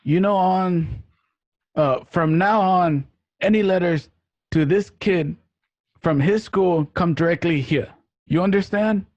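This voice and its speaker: Guy doing bossy threatening mobster, higher voice, radio play, dull dry sound. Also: takes it slowly, a little mellow, no echo. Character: bossy, menacing mobster running a cab stand. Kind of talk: amateur radio play acting. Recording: dull lo-fi home recording.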